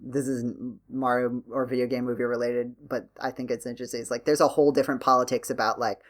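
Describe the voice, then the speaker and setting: A man hosting a casual podcast discussion, talking in a halting way and circling back as he thinks aloud. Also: medium pitch, little pitch movement. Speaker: podcast host.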